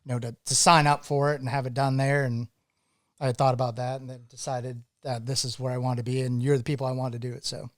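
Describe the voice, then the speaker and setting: A man from Maine, a guest on a podcast, telling stories about his life in a relaxed, thoughtful way. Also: mid-range voice, quick pace, no echo, studio quality. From Maine, USA. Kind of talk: casual interview chat.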